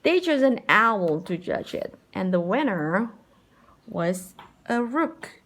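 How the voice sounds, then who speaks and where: A woman reading a children's picture book aloud in an expressive, animated and unhurried storytelling manner. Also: medium pitch. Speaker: storybook reader.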